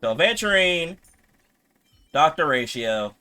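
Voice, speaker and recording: A man's voice: on the high side, very animated, a little slow, bright, forceful tone, smooth. A man giving casual game commentary as a streamer, slangy, sweary and repeating himself as he reacts.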